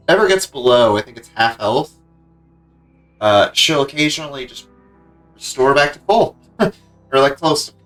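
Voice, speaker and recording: Casting: male streamer, casual gameplay commentary, bright forward voice over faint game music. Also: mid-range voice, low-quality recording.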